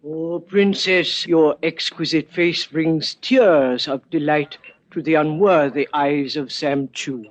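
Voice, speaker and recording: An actor playing a suave, obsequious, scheming rich collector in a 1950s radio drama, speaking with flowery, formal courtesy. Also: male voice, a little high, fairly even intonation, slow pace. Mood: fawning. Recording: dull vintage 1950s radio recording.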